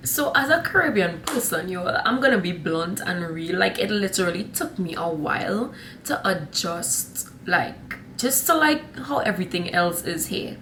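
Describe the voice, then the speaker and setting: A woman's voice: medium pitch, a bit bright, a little hiss or hum. Sassy Trinidadian woman vlogging. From Trinidad and Tobago. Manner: chatty, sassy, rambling, often says honey and y'all. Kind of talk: casual chat to camera.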